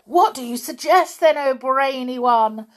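A woman voicing a crowd of eager female witches in a storybook reading, crying out in shrill, gushing bursts. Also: unhurried.

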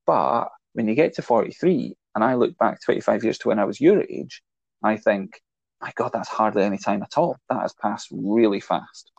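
A man's voice: lowish voice, normal speed, vocal fry, a little mellow, dry recording, lo-fi sound. A man in his forties co-hosting a casual film chat, explaining his ideas at length and teasing his younger co-host. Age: 40s. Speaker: podcast co-host.

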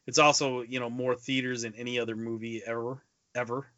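A man's voice: medium pitch, little pitch movement, a little fast, pushed and bright, no echo. A man co-hosting a casual movie podcast, speaking emphatically and repeating himself to drive points home. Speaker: podcast co-host. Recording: dull lo-fi podcast audio.

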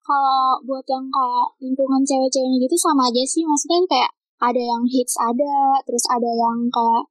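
A teenage girl with a high, light voice chats easily, her slang tinged with a slight Surabaya lilt. She trails off into fillers as she thinks aloud. The sound is dry and close. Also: fairly even intonation, normal speed, no echo, low-quality recording. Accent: slight Surabaya lilt over Jakarta slang. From Jakarta. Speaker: guest. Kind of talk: casual chat on a call.

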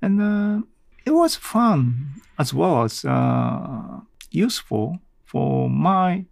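A man talks casually as a solo podcast host. His delivery is slow and halting, full of small self-corrections. The sound is close and dry. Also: fairly high pitch, huge pitch swings, gravelly, a little mellow, no echo.

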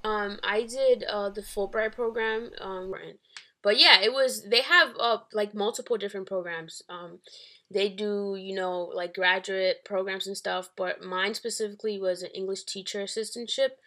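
A woman's voice: mid-range voice, steady tone, moderate pace, bright, forceful tone. A woman in her twenties from the Dominican Republic and New York, chatting casually as a guest in a hesitant, rambling way. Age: 20s. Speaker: podcast guest. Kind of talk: casual chat.

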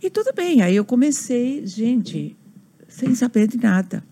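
Older Argentinian-accented woman guest, gravelly and animated, storytelling with restarts. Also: fairly high pitch, a little slow. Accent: Argentinian Spanish. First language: Spanish. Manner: storytelling with acted quotes and frequent restarts. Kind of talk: casual interview chat.

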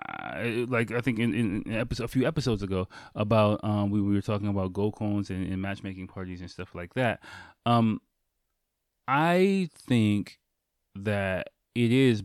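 A man's voice: fairly low pitch, no room sound, clean studio sound. A man hosting a podcast solo, talking in an informal, conversational way with casual swearing. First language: English. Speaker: podcast host.

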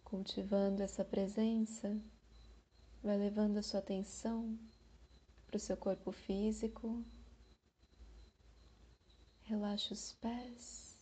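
A Brazilian woman guiding a meditation in a soothing, unhurried manner, with long pauses between short phrases. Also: mid-range voice, little pitch movement, dark tone, breathy edge. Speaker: meditation guide. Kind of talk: slow guided meditation.

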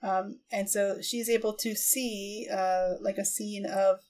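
A woman's voice: medium pitch, steady tone, slow, darkish tone, a little hiss or hum. A woman on a podcast giving a loose, rambling recap in casual chat, with little laughs between phrases.

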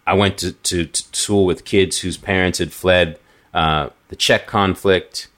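Man from New York, very low voice, animated storyteller, casual podcast chat. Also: measured pace. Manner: animated storytelling, frequent restarts and fillers. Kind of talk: casual conversation.

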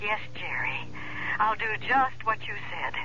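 An actress playing an anxious, obedient wife in an old-time radio drama, hesitant and submissive. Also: female voice, medium pitch, lively intonation, measured pace, close to an everyday voice. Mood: meek, uncertain. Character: anxious, meek, obedient wife drawn into a swindle. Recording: dull vintage radio sound, faint noise.

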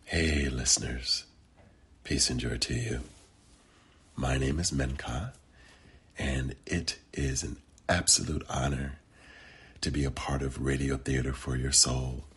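A man speaking as a guest storyteller, with measured delivery. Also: extremely low pitch, steady tone, a bit bright, husky.

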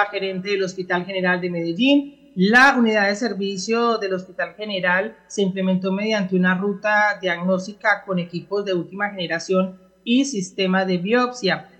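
A woman's voice: medium pitch, fairly bright tone. A woman with a Colombian accent presenting news on the radio and introducing reports.

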